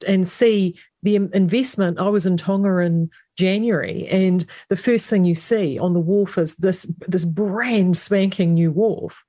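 A woman's voice: mid-range voice, measured pace, dark tone, no room sound. A woman on a political radio panel, talking conversationally with anecdotes.

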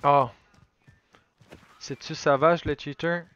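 A man's voice: fairly high pitch, takes it slowly, lo-fi sound. A man in his thirties whose first language is Polish, chatting casually in English and French while streaming a shooter game at home on a headset. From Poland. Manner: switches between French and English, excitable when calling out cheaters. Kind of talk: casual gaming commentary. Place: room at home, indoors.

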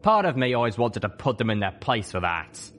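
A big clever dragon, played by a man in a storybook. He is wry and confident, drawing out his words in a lively voice. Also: lowish voice, a little fast.

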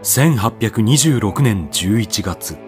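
A man's voice: fairly low pitch, animated, takes it slowly, loud music behind the voice. A man narrating a radio drama from a script in a solemn, declamatory, dramatic manner. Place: studio voice-over with music behind.

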